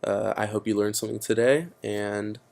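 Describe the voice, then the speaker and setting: A man's voice: on the low side, fairly even intonation, normal speed, creaky, darkish tone. A teenage boy presenting a casual computer tutorial, explaining steps with frequent fillers. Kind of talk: screen-recorded computer tutorial.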